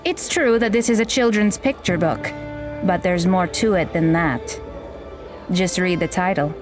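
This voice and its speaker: An actress playing a blunt, aloof young magical mentor who talks in a dry, deadpan way in an audio drama. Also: female voice, fairly low pitch, a little slow, lightly acted. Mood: instructive. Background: background music.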